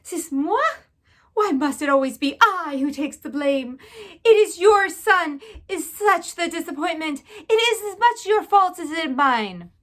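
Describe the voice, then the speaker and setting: A vain mother mouse with a thick French accent scoffs at her husband, as a woman puts her on. Her speech is theatrical and grammatically broken. The voice sits high. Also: lively intonation, measured pace, a bit bright, heavily acted. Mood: indignant.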